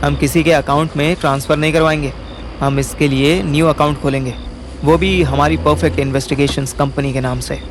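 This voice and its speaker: A man's voice: fairly high pitch, steady tone, fairly quick, noisy background, close to an everyday voice. A man playing a wisecracking, haggling young amateur detective who narrates an audio story with slangy, sarcastic banter. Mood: cocky. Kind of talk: first-person audio story narration with acted dialogue.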